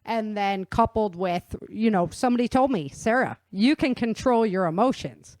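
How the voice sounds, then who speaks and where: A woman's voice: medium pitch, animated, normal speed, darkish tone, dry recording. A woman hosting a podcast, chatting casually and often agreeing briefly. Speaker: podcast host. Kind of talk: casual conversation.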